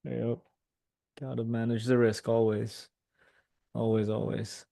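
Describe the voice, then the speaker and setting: Man, gravelly, mid pitch, co-host, relaxed joking, dry sound. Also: takes it slowly, dry recording. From North America. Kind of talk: live trading show chat.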